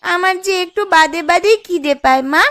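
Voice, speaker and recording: A woman's voice: extremely high pitch, moderate pace, a bit bright. A woman voicing a hungry little village daughter in a cartoon story, pleading and childlike. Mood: whiny, hungry. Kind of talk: Bengali cartoon story acting. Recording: music behind the voice. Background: background music.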